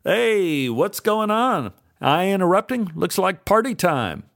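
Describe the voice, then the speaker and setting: A man's voice: high voice, sing-song, takes it slowly, vocal fry, a bit bright, no room sound, studio quality, voice pushed up, exaggerated voice. A man voicing a cocky, pushy young male actor who talks sarcastically. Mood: breezy.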